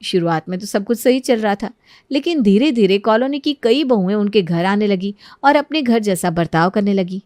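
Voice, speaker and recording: A woman's voice: mid-range voice, expressive, normal speed, a little mellow, no echo, studio quality. A woman narrating a scripted moral story as its storyteller.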